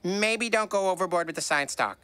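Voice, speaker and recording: An actor voicing a timid, hungry cartoon cat sidekick who jokes and frets. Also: female voice, lowish voice, expressive, a little fast, a bit bright, smooth, no echo. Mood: jittery. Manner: jittery, jokey, snack-obsessed. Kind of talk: cartoon voice acting. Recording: music behind.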